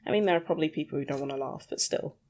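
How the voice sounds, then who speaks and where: A woman's voice: low voice, rapid-fire, darkish tone. A woman rambling casually as a solo podcast host, with a self-deprecating, mildly sweary way of talking.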